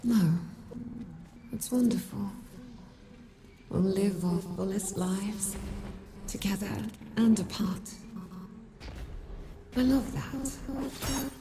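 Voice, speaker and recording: A gentle, devoted partner in a naturalistic game drama. She speaks slowly at a medium pitch, soft, affectionate and close. Clear ambient noise runs underneath her words. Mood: wondering, loving.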